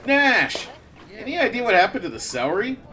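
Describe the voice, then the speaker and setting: A man's voice: sits high, sing-song, brisk, sharp tone, exaggerated voice. A man playing a naive, chatty, eager newcomer in a comedy web drama. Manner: chatty, blithely unbelieving. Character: naive, eager man convinced everything is scripted.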